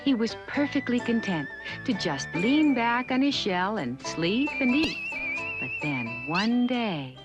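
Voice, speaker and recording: Animated woman narrating a children's story. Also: a little high, slow, over loud music. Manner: expressive, chatty storytelling with asides to listeners.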